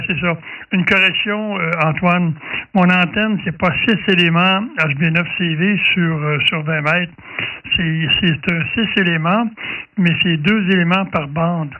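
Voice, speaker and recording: A man from Quebec with a Québécois accent chatting casually as an amateur radio operator. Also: on the high side. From Quebec, Canada. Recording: dull, distant room sound.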